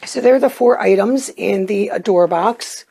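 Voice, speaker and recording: A middle-aged woman with a hoarse voice from a cold, chatting casually as she reviews beauty products and often pauses with ums. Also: mid-range voice, mellow. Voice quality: hoarse, clears her throat. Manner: chatty, plainspoken, hesitant with ums. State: getting over a cold, losing her voice. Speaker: unboxing vlogger.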